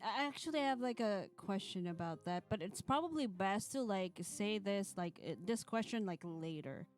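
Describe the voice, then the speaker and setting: A woman livestreaming, moving between casual chat and reading a visual novel's narration aloud in an animated way. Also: medium pitch, moderate pace, faint music behind. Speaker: streamer.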